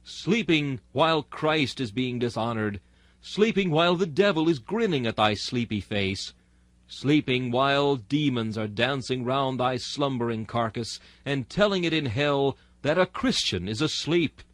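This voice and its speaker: A man with a mid-pitched voice delivers an archaic sermon as a dramatic reading. His manner is solemn and exhortatory, leaning on rhetorical delivery. The sound is dry, with almost no room around the voice. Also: lively intonation, measured pace, no room sound. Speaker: sermon reader.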